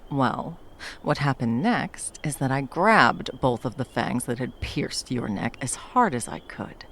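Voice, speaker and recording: Woman acting as slow-talking, low-voiced protective monster huntress. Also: creaky, slight background noise, exaggerated voice. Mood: simmering anger. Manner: halting, coaxing, speaking to a beloved. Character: wandering female monster hunter, fiercely protective, tender lover. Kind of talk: intimate ASMR roleplay.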